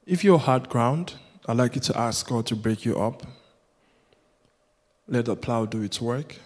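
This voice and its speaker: A man's voice: mid-range voice, measured pace, a little mellow. A man whose first language is Yoruba, preaching a sermon as a guest preacher in an earnest, rhythmic way that builds with repetition. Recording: long hall reverb.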